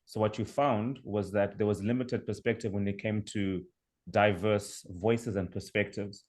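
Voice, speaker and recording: A young man from Zimbabwe with a Zimbabwean accent, presenting his startup over a video call in a measured, explanatory way. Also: on the low side, steady tone, darkish tone. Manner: measured, explanatory, with fillers and restarts. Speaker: startup founder pitching. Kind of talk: online pitch presentation.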